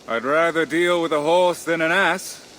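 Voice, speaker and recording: An actor playing a proud, sharp-tongued Norse challenge master in a video game, speaking in a haughty, deliberate way. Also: male voice, fairly high pitch, slight background noise, lightly acted. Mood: taunting. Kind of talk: dramatic video game acting.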